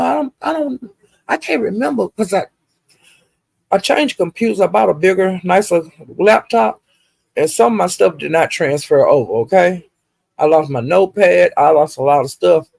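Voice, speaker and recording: A woman's voice: on the low side, gravelly, a little mellow, dry recording. An older woman, chatting casually to live viewers as a livestream host in a folksy, rambling, salty-tongued way.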